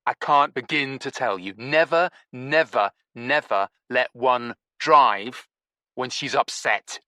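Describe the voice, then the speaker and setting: A male narrator doing a loud, smug show-off who keeps repeating himself in a storybook reading. The voice jumps up high and gets louder, all bluster and airy self-importance, in a bright, dry sound. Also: fairly even intonation, a little fast, no room sound. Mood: brash. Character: smug pushy young man, brash and loud.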